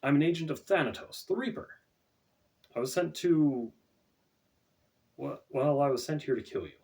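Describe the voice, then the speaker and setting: Guy doing reluctant dark sorcerer killer for a fantasy audiobook, halting n uneasy, a bit off mic. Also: a little high, expressive, creaky, recorded from a distance, pitched above the performer's own voice. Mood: hesitant, uneasy. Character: conflicted male dark-magic killer, hesitant and reluctant.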